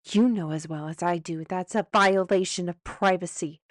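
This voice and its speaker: A woman playing a defiant, sharp-tongued steward in a fantasy audio drama, speaking in a sardonic, outspoken way. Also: fairly low pitch, moderate pace, no room sound, studio quality, close to an everyday voice. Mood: indignant.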